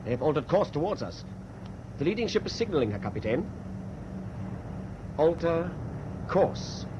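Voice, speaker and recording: Dutiful ship's officer, muffled old recording. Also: male voice, a little high, very animated, normal speed. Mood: alert, wary. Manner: formal, reporting to superior. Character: dutiful, cautious German first officer aboard ship. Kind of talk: radio play acting. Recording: muffled, noisy old radio drama recording.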